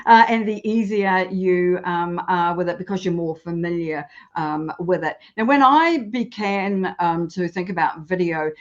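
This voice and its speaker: A woman's voice: lowish voice, unhurried, hardly any pauses. Chatty woman host on dull audio. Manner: chatty with many ums, laughs lightly. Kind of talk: live stream interview.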